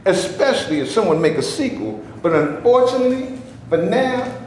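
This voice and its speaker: Man doing a fed-up indignant man, high lively voice, heated, echoey stage recording. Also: moderate pace. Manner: impassioned, indignant. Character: fed-up, impatient man demanding his share. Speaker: character in a staged reading. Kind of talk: stage play reading. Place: theater before a live audience. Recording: echoey room, faint background noise.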